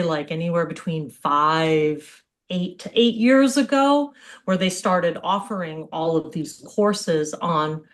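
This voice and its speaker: A middle-aged woman with an American accent, a physical therapist giving an informal online presentation in an open, conversational way. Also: on the low side. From Hawaii, United States. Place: indoors on a video call.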